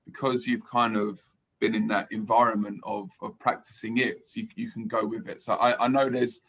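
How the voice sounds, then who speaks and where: A man's voice: on the low side, flat delivery, moderate pace, vocal fry, a bit bright, slightly rough. A man hosting a podcast, chatting casually with his guest and agreeing readily. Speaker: podcast host. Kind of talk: casual interview chat.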